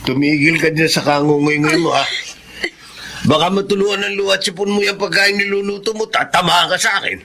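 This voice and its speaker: A man's voice: high voice, steady tone, fairly quick, bright, forceful tone, a little hiss or hum. An actor playing a harsh, greedy older father-in-law in a Filipino radio drama, with a scolding, contemptuous manner and Filipino accent.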